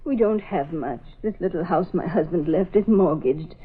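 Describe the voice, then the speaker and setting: Husky, proud old widowed mother. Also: fairly low pitch, normal speed. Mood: weary, resigned. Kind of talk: 1930s radio drama acting. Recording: muffled band-limited old radio recording.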